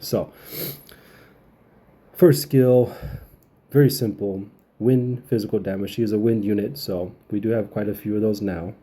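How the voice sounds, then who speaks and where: A man's voice: fairly low pitch, mellow, husky. A man getting over a cold, giving casual, rambling video game update commentary as a channel host.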